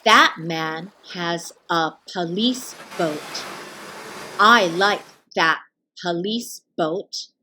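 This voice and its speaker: A woman reading a children's early reader aloud, deliberately enunciating each word as a narrator. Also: on the low side, background noise. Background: background music.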